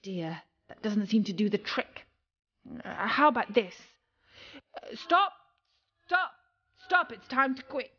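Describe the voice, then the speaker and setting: A woman's voice: on the high side, very animated, takes it slowly, long pauses, pushed and bright, heavily acted. Woman playing a cocky young apprentice boy, muffled. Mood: flustered. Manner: eager, self-important, storybook dialogue.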